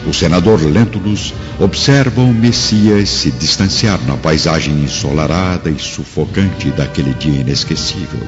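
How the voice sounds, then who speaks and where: Low-voiced, solemn male narrator. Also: moderate pace, hardly any pauses. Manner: solemn, literary. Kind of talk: scripted dramatic narration. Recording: dull recording over music.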